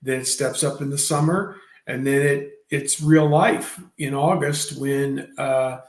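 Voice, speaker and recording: A man's voice: medium pitch, steady tone, unhurried. Rambling fifties man in an echoey room. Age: 50s. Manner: rambling, hesitant with many fillers. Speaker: podcast host. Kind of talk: casual sports talk.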